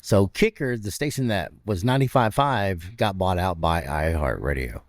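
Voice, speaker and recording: A man in his fifties with a deep, raspy voice, a radio personality telling stories with laughs in a casual interview. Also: normal speed, no echo, clean studio sound. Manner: animated, laughs between stories. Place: stadium club room.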